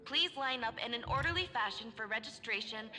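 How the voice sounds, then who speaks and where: A woman's voice: a little high, animated, pushed and bright. Echoing female hospital announcer. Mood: formal, impersonal. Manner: polite, measured, impersonal. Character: female hospital public-address announcer, formal and absurd. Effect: public-address reverb. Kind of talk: formal announcement, game voice acting. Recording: long PA reverb, music behind.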